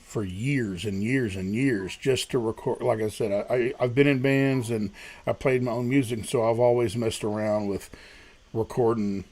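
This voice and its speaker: A man with a deep voice, a guest musician talking casually and rambling about his recording struggles. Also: steady tone, normal speed, darkish tone. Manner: self-deprecating, rambling, many restarts. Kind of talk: casual livestream chat.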